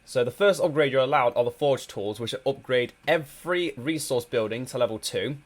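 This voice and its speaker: Male gameplay commentator, mid-pitched, a little stuffy from a cold, halting and casual. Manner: hesitant, many ums and restarts. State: slightly congested from a cold. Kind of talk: casual strategy game commentary.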